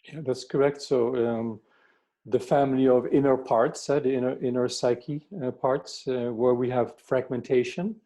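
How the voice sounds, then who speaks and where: Older male interviewee, Dutch accent. Mid-pitched, soft dark tone, reflective and halting, repeats words while explaining. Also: flat delivery. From Netherlands. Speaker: workshop facilitator, therapist being interviewed.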